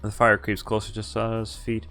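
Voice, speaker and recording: A man with a fairly low voice reads a visual novel aloud as a streamer. His delivery is dramatic but informal, with slips, self-corrections and casual swearing. Music plays along behind him. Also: completely flat delivery, normal speed, creaky, bright, forceful tone. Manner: stumbles over words and corrects himself, swears casually. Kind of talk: dramatic read-aloud of game narration and dialogue with casual asides. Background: game music.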